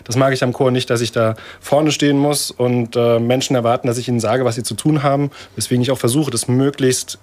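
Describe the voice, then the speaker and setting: Guy who runs choirs, chatting in an interview, stops and restarts a lot, laughs easy, mid pitch. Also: steady tone, a little fast, hardly any pauses, darkish tone. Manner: thoughtful, hesitant, laughs often. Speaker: choir director. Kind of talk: casual interview talk.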